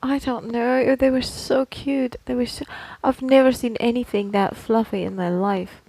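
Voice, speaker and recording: A woman's voice: a little high, fairly even intonation, moderate pace, mellow, dry recording, low-quality recording. A woman co-hosting a casual podcast, chatting in an effusive, rambling storytelling way. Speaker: podcast co-host. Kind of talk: casual chat.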